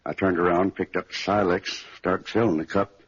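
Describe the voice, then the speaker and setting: An actor playing an injured American cafe owner in a 1950s radio drama, raspy and husky, giving a plain account. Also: male voice, low voice, steady tone, moderate pace. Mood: weary, factual. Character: injured male cafe owner recounting a holdup. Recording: dull vintage radio recording.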